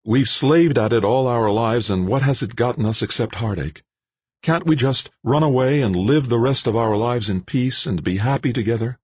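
A man's voice: medium pitch, normal speed, slightly rough, no room sound, pitched above the performer's own voice, lightly acted. A man voicing a worried grown woman who pleads earnestly, in an audiobook. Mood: pleading. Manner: anxious, pleading.

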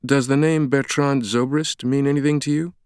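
A man voicing a brisk, blunt woman doctor in an audiobook, direct, assertive and matter-of-fact. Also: medium pitch, lively intonation, no echo, studio quality, pitched above the performer's own voice, lightly acted. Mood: probing. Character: brisk, blunt young woman doctor who takes charge.

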